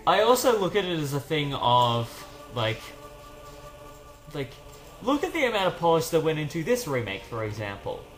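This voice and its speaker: A man's voice: fairly high pitch, sing-song, normal speed, faint music behind, low-quality recording. A man giving casual gaming commentary as a let's play host, rambling off on tangents as he plays.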